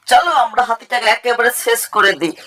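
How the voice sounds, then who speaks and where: Woman voicing a bright, forceful cartoon ant chief. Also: a little high, expressive, moderate pace, faint music behind. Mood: outraged. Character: boastful, hot-tempered ant chief threatening an elephant. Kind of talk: children's cartoon voice acting.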